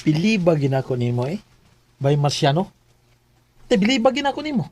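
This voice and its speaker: A man's voice: fairly high pitch, sing-song, fairly quick, a little mellow, a little hiss or hum. An actor playing a cheerful, pushy young male buddy with a Filipino Bisaya accent, bantering in a radio drama. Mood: teasing, friendly. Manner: bantering, questioning tags.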